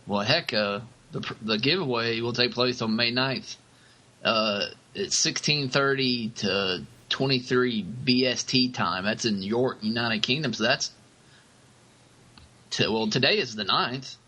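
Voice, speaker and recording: A man co-hosting a podcast in casual chat, speaking haltingly and often repeating himself. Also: mid-range voice, pushed and bright. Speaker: podcast co-host.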